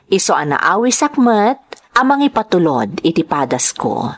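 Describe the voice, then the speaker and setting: Lively Ilocano woman reading letters. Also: fairly low pitch, normal speed. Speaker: host and narrator of a drama channel. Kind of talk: reading greetings and a listener's letter.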